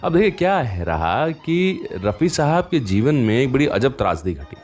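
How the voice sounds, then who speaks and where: A man's voice: medium pitch, sing-song, moderate pace, darkish tone. A man presents a music radio show, telling anecdotes in a chatty, conversational way that speaks straight to the listener. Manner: chatty, addresses listeners directly with frequent rhetorical fillers.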